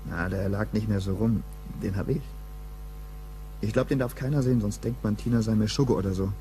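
A man's voice: lowish voice, fairly quick, mellow, a little hiss or hum, natural voice. An actor playing a weary, plain-spoken man, a reluctant witness in a crime radio play, speaking in clipped colloquial phrases. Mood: guarded.

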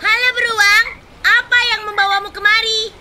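A performer voicing a cheeky, boastful little cartoon fish with a squeaky, childlike, teasing voice. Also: little pitch movement, moderate pace. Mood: chirpy, boastful. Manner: teasing, bragging. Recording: loud music behind the voice.

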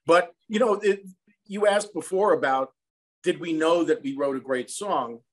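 American guy in his 60s chatting on a video call, storytelling, voice a bit higher than most men. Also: normal speed. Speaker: songwriter and film composer, interview guest. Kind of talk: conversational interview anecdotes. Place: small room at home, indoors.

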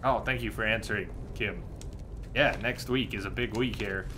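A man's voice: fairly low pitch, normal speed, background noise. A man in his thirties chatting casually with viewers while playing a video game, with playful, exclamatory commentary. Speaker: video game live streamer. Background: fantasy video game sounds.

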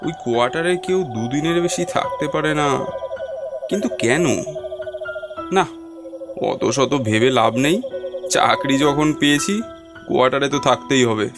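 A man voicing an uneasy, curious government employee newly arrived in a horror cartoon, with animated, jittery delivery. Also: mid-range voice, normal speed, long pauses, over loud music, close to an everyday voice. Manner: animated, talks to himself, stammers when startled. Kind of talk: horror cartoon voice acting and first-person narration.

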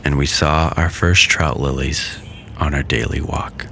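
A man in his thirties giving calm, reflective scripted voice-over narration at an unhurried pace. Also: very deep voice, vocal fry, background noise. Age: 30s. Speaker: vlogger. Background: faint music.

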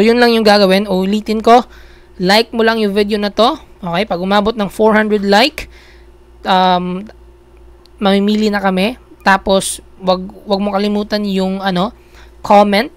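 A woman's voice: mid-range voice, flat delivery, moderate pace, pauses often, clean tone. A woman with a Filipino accent hosting a casual explainer vlog, often checking in with listeners and repeating points. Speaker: video host. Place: small indoor room at a computer.

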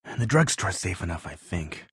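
An actor playing an awkward, earnest, tech-minded man in a zombie survival video game, speaking in a hesitant, wry, matter-of-fact way with a husky voice. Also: deep voice, big pitch swings, moderate pace, fairly bright tone, dry recording, studio quality, heavily acted. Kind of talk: naturalistic video game voice acting.

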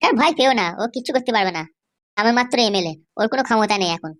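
Woman playing a cocky, rapid-fire cartoon schemer. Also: fairly high pitch, animated, fairly bright tone. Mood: boastful. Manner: boastful, threatening. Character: cocky, defiant schemer and ally in a comedy cartoon. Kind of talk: exaggerated cartoon dialogue.

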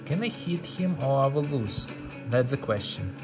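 A man's voice: mid-range voice, expressive, normal speed, music in the background. A man in giving casual, playful gameplay commentary, thinking aloud as he plays. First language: not English. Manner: playful, thinking aloud, with sung-out sound effects. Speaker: gaming video host.

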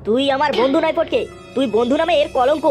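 A woman's voice: sits high, quick pace, over music, natural voice. A woman voicing a touchy, hot-headed young slacker in a comedy cartoon, with a brash, scolding manner. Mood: angry. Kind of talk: animated comedy cartoon dialogue.

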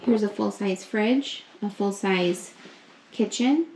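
A young woman giving an upbeat, slow walkthrough of a hotel suite, pointing things out as she goes, recorded on a handheld camera. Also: medium pitch, a little mellow, slight background noise. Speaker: vlogger giving a room tour. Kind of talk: casual walkthrough narration. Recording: echoey rooms with faint ambience.

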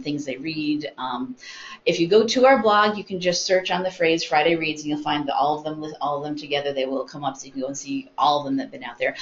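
An American woman hosting an informal talk about books, chatty and explanatory, given to small digressions. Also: low voice. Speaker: webinar host, library consultant. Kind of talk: informal book talk to colleagues. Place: meeting room with colleagues around a table. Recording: dull, noisy conference room audio.

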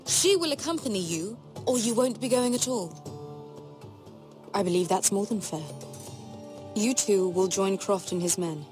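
A woman playing a stern, regal young queen in a video game, speaking in a measured, commanding way over music. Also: medium pitch, expressive, lightly acted. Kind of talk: dramatic video game voice acting.

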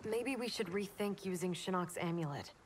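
A woman's voice: fairly low pitch, normal speed, lightly acted. A woman playing a tough, determined young female soldier with an American accent in a video game, terse and forthright. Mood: concerned, questioning. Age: young adult. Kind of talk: dramatic video game acting. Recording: game action noise behind voice.

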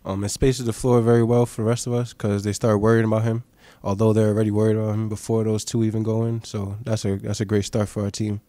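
A man's voice: lowish voice, flat delivery, fast, hardly any pauses, mellow, no echo. A young man, a college basketball player, giving short, matter-of-fact answers at a postgame press conference. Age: young adult. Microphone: table mic.

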